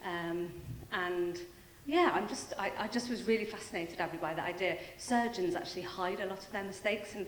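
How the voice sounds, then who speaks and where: A woman's voice: mid-range voice, moderate pace, a little hiss or hum. A British woman in the audience sharing a thought in a chatty, musing way. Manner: musing, chatty, laughs lightly. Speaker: audience member. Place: large hall. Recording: echoey hall.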